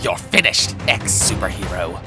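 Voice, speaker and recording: A smug cartoon supervillain, acted by a man, fairly low in pitch and swinging theatrically through formal, taunting lines. Loud music plays over the voice. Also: lively intonation, a little slow. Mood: gloating. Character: Buck Perfect, smug, menacing male duck supervillain. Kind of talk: theatrical comic dub villain acting.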